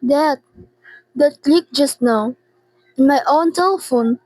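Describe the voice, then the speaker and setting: A frantic invalid woman played by a teenage girl in a radio play, with a high voice and slow, halting delivery. She is anxious and imperious, repeating herself as she makes demands. The sound is close and dry. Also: a little mellow, dry recording, low-quality recording. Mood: fearful, upset. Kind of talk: radio drama acting.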